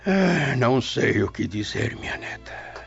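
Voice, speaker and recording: An actor playing a noble old Roman grandfather in a radio drama, gravelly and grave, conservative and troubled. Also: medium pitch, big pitch swings. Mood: troubled, disbelieving. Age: older. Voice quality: gravelly, creaky. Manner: slow, grave, hesitant. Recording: dull lo-fi radio drama audio. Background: music.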